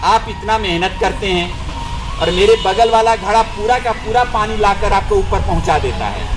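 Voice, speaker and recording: Cracked clay pot for a storybook fable, male storyteller: high voice, humble, self-doubting, music underneath. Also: rough recording. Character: sad, humble cracked clay water pot, ashamed of its flaw.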